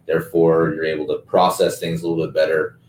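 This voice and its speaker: A man's voice: extremely low pitch, little pitch movement, fast talker, a little mellow, slight background noise. A man coaching and hosting a casual podcast chat, talking in a loose, filler-heavy way. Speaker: strength coach and host. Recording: echoey room, mic at a distance.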